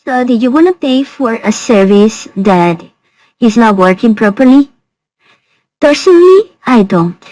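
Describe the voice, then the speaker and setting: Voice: mid-range voice, expressive, measured pace, darkish tone, no room sound. A reviewer's voice changed into a woman's by an AI voice changer, giving a casual, disapproving review. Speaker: software reviewer.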